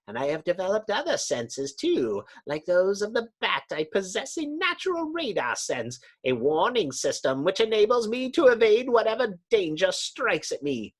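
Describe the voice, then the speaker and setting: A crazed subterranean villain from a comic book. The voice is pitched high and swings wildly up and down, grandiose and taunting as it boasts of power. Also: male voice, sing-song, moderate pace, hardly any pauses. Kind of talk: dramatic comic book reading.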